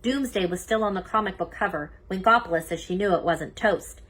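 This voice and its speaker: A woman reading a children's story aloud as narrator, expressive and emphatic. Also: mid-range voice, brisk. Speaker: children's book reader.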